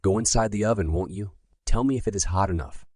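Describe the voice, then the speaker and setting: A man voicing a sly old witch in a bedtime story, sharp and gloating, sometimes falsely gentle. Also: lowish voice, fast, slightly rough, no room sound, clean studio sound. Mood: falsely sweet. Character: sly, snappish old witch who eats children.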